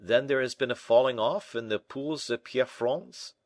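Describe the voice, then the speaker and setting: A man voicing a shrewd, brisk gentleman in an audiobook, animated, questioning and a little impatient. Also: medium pitch, no echo, voice pushed up, close to an everyday voice. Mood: teasing concern. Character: D'Artagnan, brisk, shrewd, exclaiming gentleman friend. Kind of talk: audiobook character acting.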